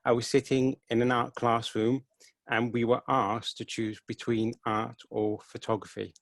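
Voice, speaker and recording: A man's voice: mid-range voice, level tone, measured pace, no room sound. A man from Wales in his thirties, answering questions about his photography in an earnest, enthusiastic way over a video call. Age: 30s. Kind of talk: casual interview answers. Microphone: headset.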